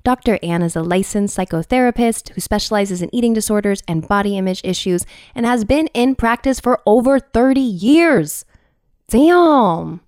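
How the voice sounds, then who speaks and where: A young adult woman hosting a podcast, chatty and casual, saying "like" a lot, slightly raspy from a cold. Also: mid-range voice, darkish tone, dry recording, clean studio sound. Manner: chatty, uses "like" a lot, self-deprecating. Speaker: podcast host.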